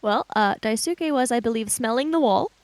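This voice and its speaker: A woman chats casually over a tabletop game. Her voice is high and swings up and down in a lively way, recorded with little room sound. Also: moderate pace, dry recording. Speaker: tabletop role-playing participant.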